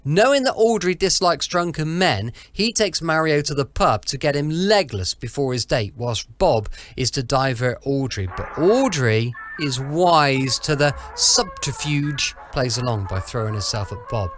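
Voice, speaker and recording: Animated British man hosting a podcast. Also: mid-range voice, unhurried, hardly any pauses. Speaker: podcast host. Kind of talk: chatty scripted podcast introduction.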